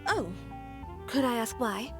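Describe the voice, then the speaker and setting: Proud young shop owner in a game, higher voice, dry and assertive, music under it. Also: female voice, big pitch swings, slow pace, full character voice. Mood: politely composed. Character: proud, composed, sharp-tongued young woman boutique owner. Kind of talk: video game voice acting.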